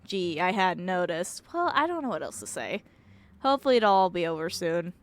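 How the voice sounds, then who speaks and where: A woman's voice: fairly low pitch, very animated, moderate pace. A woman voicing a stubborn, sarcastic woman who runs a mine, in a curt, dry manner, in a video game. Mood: dry, bitter.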